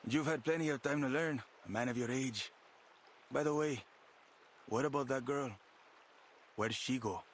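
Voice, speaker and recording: An actor playing a gruff, suspicious gang member in a video game, rough and sardonic in manner. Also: male voice, medium pitch, normal speed, long pauses, slight background noise, natural voice. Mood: suspicious, scornful. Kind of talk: video game character acting.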